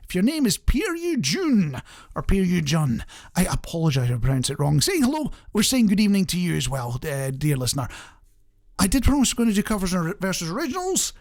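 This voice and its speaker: A man's voice: sits high, wildly swooping pitch, brisk, a little mellow. A man with a British accent presenting a radio show in a casual, rambling, conversational and self-deprecating way.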